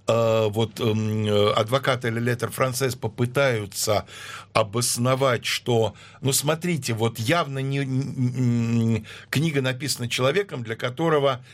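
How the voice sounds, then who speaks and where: A man speaking as a guest historian in an interview, explaining at a measured pace with many hesitations. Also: mid-range voice. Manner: measured, lecturing with frequent hesitations.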